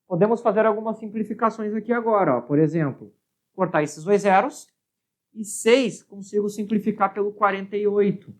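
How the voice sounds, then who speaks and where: A woman's voice: mid-range voice, normal speed, darkish tone. Brazilian woman teaching step by step. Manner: explanatory, often checking with "certo?" and "né?". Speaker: physics teacher. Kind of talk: step-by-step lesson at a board.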